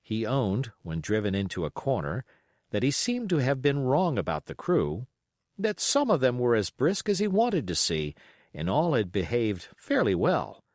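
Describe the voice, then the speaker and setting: A man reading a classic adventure story aloud as an expressive audiobook narrator. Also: medium pitch, moderate pace, no echo, studio quality. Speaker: audiobook reader.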